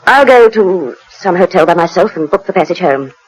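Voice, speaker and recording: An actress with a British accent playing a proud, bitter wronged wife in a 1940s radio drama, crisp, controlled and cutting. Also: female voice, on the low side, expressive, moderate pace. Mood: bitter contempt. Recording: noisy 1940s radio recording.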